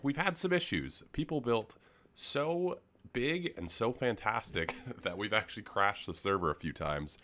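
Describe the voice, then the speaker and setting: A young man with a cold, his voice gravelly and nasal, giving an enthusiastic, rambling game walkthrough full of ums. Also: on the low side, a bit bright. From Canada. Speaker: gaming YouTuber giving a server tour. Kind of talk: casual gameplay commentary.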